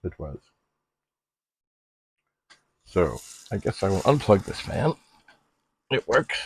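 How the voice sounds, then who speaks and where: Deep, gravelly middle-aged man, musing aloud. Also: sing-song, very noisy. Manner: halting, musing aloud to himself and the gear. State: frequent coughing and throat clearing. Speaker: retro computer hobbyist and video host. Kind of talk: casual hands-on demonstration narration.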